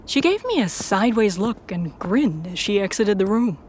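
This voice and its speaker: A woman's voice: medium pitch, very animated, moderate pace, darkish tone, a little hiss or hum. A woman reading scripted first-person story narration for an audio drama.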